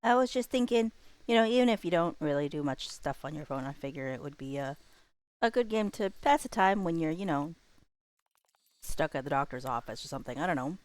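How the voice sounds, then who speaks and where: A woman livestreamer chatting casually while gaming, with a somewhat hesitant, halting delivery. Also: low voice, expressive.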